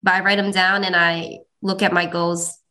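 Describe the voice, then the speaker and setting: Woman, young adult, Cantonese first language, bright tone, modest, laughs easily. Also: on the low side, little pitch movement, normal speed. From China. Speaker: interview guest. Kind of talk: interview answers.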